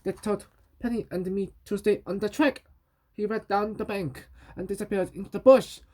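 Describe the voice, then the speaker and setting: A woman reading a children's story aloud in a halting way, repeating and correcting words as she goes. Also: medium pitch, steady tone, a little mellow.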